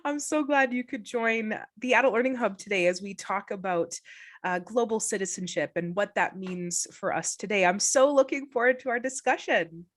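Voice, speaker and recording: Woman host, chatty and upbeat, keeps saying brilliant, mid pitch. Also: normal speed. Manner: upbeat, encouraging, with frequent "hmm" and "brilliant". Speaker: podcast host. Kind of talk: casual interview chat.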